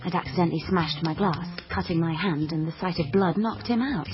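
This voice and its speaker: A woman's voice: lowish voice, brisk, dull audio, over music, lightly acted. An actress playing a headstrong young female amateur detective in a comic radio drama, melodramatic, emphatic and wry. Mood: wry narration. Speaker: lead character and narrator.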